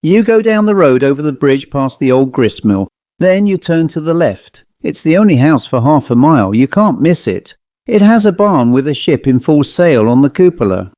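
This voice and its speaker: A man's voice: a little high, sing-song, normal speed, a little mellow, no room sound. A man voicing a stern, guarded country housewife in a storybook reading, curt, dismissive and evasive. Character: stern, guarded rural New England housewife.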